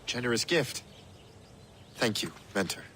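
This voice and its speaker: An actor voicing a cocky, casual young assassin trainee in a video game, flippant and informal. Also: male voice, mid-range voice, lively intonation, unhurried, pushed and bright, a little hiss or hum. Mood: casual, cheeky.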